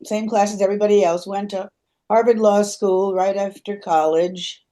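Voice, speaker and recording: A woman's voice: medium pitch, steady tone, normal speed, mellow. An older woman from New York City with an American accent, chatting casually. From New York City, United States. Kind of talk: casual chat.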